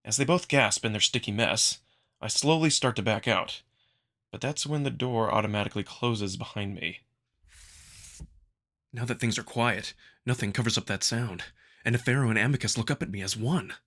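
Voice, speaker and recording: A man giving an expressive, dramatic first-person narration of a visual novel, acting out the narrator's feelings. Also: on the low side, normal speed. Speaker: narrator, amateur voice performer.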